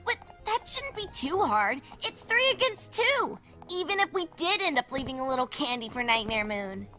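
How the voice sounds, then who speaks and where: Woman as plucky little storybook filly, earnest and animated, bright piping voice over faint music. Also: sits high, moderate pace, heavily acted. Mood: cheering up. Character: young cartoon fillies, plucky and matter-of-fact. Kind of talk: fan fiction audio reading, cartoon voice.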